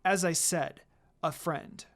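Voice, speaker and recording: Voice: medium pitch, fairly even intonation, takes it slowly, soft background music, lightly acted. A narrator as a cool, teasing young ninja woman. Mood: coolly evasive. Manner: dry, mocking, understated.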